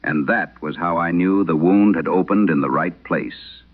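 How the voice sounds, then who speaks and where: Guy doing old exorcist priest, super deep n gravelly, grave horror radio story, old radio sound. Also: phone-quality sound. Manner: grave, measured, dramatic pauses. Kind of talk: solemn first-person horror radio storytelling. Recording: band-limited vintage radio sound.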